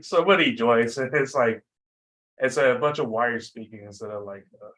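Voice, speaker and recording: A man's voice: medium pitch, normal speed, fairly bright tone. Young adult male student presenter, informal and self-deprecating, tired from lack of sleep, rambling through a lecture. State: sleep-deprived.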